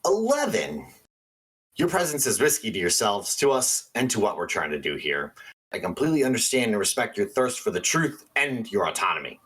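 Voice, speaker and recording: An actor playing a quirky, excitable small-town paranormal radio host in a radio play, theatrical and earnest. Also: male voice, on the low side, moderate pace, slightly rough, full character voice. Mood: exasperated, emphatic. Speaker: radio call-in show host.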